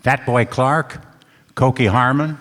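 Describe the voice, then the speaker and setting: A man's voice: mid-range voice, steady tone, slow, fairly bright tone. A man playing a wisecracking hard-boiled private eye, wry, tough and slangy, in a noir radio play. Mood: casual probing. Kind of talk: noir radio play narration and dialogue on stage. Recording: reverberant hall stage recording.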